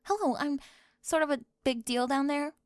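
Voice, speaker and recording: A woman's voice: high-pitched, moderate pace, no echo, clean studio sound, close to an everyday voice. A woman playing a sarcastic young cheerleader bully. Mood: defensive. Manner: sarcastic, prickly, defensive, often whispering. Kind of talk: ASMR roleplay.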